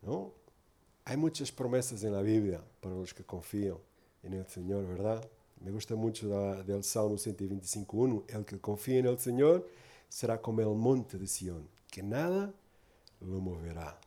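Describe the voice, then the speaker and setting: A man's voice: lowish voice, animated, normal speed, warm tone. A man from Portugal preaching in Spanish with a Portuguese accent, giving an earnest, informal sermon full of tag questions.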